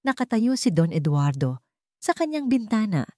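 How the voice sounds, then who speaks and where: A woman with a Filipino accent narrating a scripted dramatic story in a measured, formal way. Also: medium pitch, very animated, mellow, no echo, clean studio sound.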